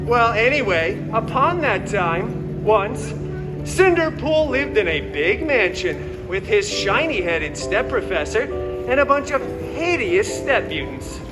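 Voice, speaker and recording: A very high-pitched, theatrical antihero played by a man hosts an irreverent live story time. He is sarcastic and chatty, full of asides to the crowd. Music and crowd noise sit behind him in an outdoor show. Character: wisecracking masked antihero storyteller, irreverent, theatrical, self-loving. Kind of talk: comic interactive bedtime story narration to a crowd. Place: outdoor theme park stage.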